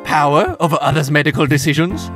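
A man playing a coolly sinister, power-loving cartoon doctor who speaks deliberately. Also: fairly high pitch, over music, close to an everyday voice. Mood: smug menace.

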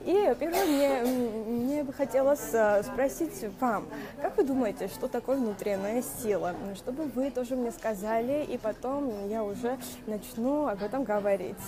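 A young woman coach hosting a live stream, speaking in a halting way with many fillers and a noticeable non-native accent, greeting viewers by name. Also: on the high side. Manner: speaks Russian as a second language with a noticeable accent. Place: small room indoors. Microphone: wired earphone mic. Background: music playing.

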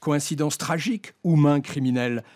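A man's voice: on the high side, moderate pace, darkish tone. Middle-aged man reading a radio review. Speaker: radio book critic. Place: radio studio. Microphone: broadcast desk microphone.

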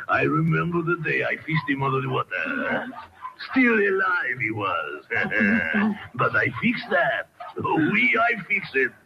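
Mad old Frenchman, slow and swooping. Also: medium pitch, heavily acted. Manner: theatrical, drawn-out, chuckling, coaxing with "eh?". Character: mad, gloating old Frenchman who cleans bones. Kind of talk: old-time radio horror acting. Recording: dull, noisy vintage radio recording.

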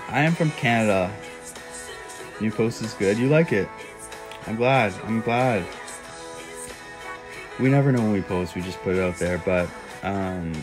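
Young man chatting on a phone livestream. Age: young adult. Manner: playful, bursts into high owl hoots. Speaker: livestream host. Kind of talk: casual livestream chat reading comments. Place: small indoor room. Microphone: phone held close.

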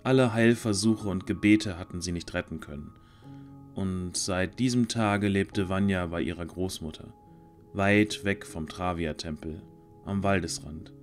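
A man narrating a scripted fantasy audio drama in a measured storytelling manner. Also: sits low, soft background music.

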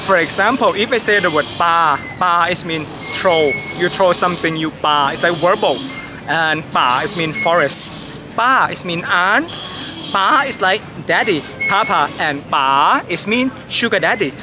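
A man's voice: sits high, expressive. Young Thai-accented man, very noisy outdoor recording. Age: young adult. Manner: halting, non-fluent English with repeated words. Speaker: tour guide. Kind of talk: explaining to tourists. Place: outdoors by city canal and riverside. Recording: loud outdoor traffic and city noise.

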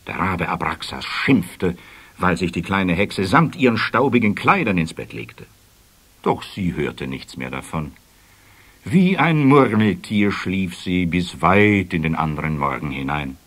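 A man's voice: low voice, big pitch swings, measured pace, a bit bright. A man narrating a scripted children's story as the storyteller of an audio play.

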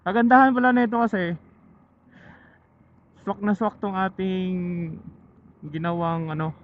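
Male Filipino rider-vlogger, chatty and halting, slightly high voice, narrow phone-like helmet intercom sound. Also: fairly even intonation. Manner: chatty, halting, thinking aloud. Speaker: motorcycle vlogger. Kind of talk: casual vlog chat to viewers while riding. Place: outdoors riding a motorcycle on mountain roads. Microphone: helmet intercom microphone. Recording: muffled phone-like helmet intercom, loud vehicle noise.

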